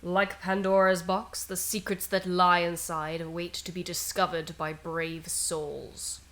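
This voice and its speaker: A woman with a slightly low voice streams a game. She talks casually and turns measured and dramatic when she reads text aloud. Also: little pitch movement, fairly bright tone. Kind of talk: casual playthrough chat and reading aloud.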